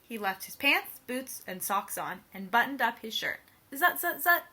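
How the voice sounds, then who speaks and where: Animated, bright-voiced woman reading a picture book. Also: on the high side, normal speed, husky. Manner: playful sound words, emphatic. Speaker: read-aloud storyteller.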